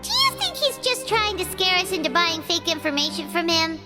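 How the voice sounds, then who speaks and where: A woman voicing a small, childlike, excitable fairy girl with a squeaky voice in a video game. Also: brisk, over music. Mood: thoughtful, chirpy. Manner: excitable, exclaiming, refers to self in third person. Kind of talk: video game cutscene voice acting.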